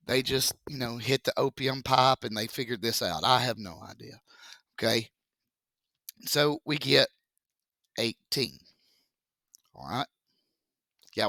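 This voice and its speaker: Male teacher with a froggy voice; slow, jokey, bright and forceful tone. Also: medium pitch, no echo, clean studio sound. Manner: joking, chatty, slow step-by-step explaining. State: deep froggy voice from a cold. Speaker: math teacher. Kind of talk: casual classroom lesson video.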